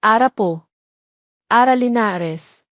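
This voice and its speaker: A woman's voice: on the high side, slow pace, a bit bright, no echo, clean studio sound. A woman voicing a poor, polite, guarded young village woman, deferential and hesitant. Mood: shy, guarded.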